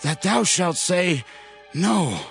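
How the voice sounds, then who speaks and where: A weary biblical captain on the run, acted by a man, in a scripture drama. He pleads and orders in a slow, highish voice with big swings of pitch, music faint behind him. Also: sing-song, gravelly, heavily acted. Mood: urgent, wary.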